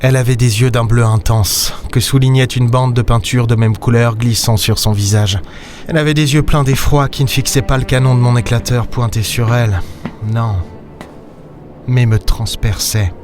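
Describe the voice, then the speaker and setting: Space captain, male actor: medium pitch, wry and dismissive, cynical loner, audio-drama delivery with music behind. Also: steady tone, normal speed, darkish tone, lightly acted. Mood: wry, brooding. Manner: sardonic, gruff, dismissive. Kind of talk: sci-fi audio drama, noir-like first-person narration and dialogue. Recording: music under the voice.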